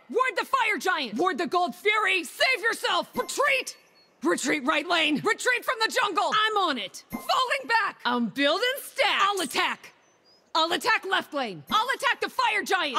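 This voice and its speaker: A woman's voice: very high-pitched, pushed and bright, exaggerated voice. A woman playing a brash, hotheaded young fire mage in a video game, with cocky, punchy delivery and long reverb. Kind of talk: video game voice pack lines.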